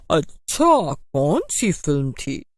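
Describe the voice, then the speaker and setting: A woman's voice: a little high, bright, forceful tone, husky, no room sound, studio quality, pitched above the performer's own voice, full character voice. A woman voicing a scary, mocking witch who taunts her prey in a Hindi cartoon horror comedy. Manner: cackling, taunting.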